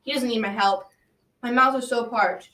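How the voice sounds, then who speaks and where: Wary young warrior played by a school girl, highish voice, musing, radio play, echoey and off mic. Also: normal speed, sharp tone. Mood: giving in. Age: young adolescent. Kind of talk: school radio drama acting. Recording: echoey room, distant microphone.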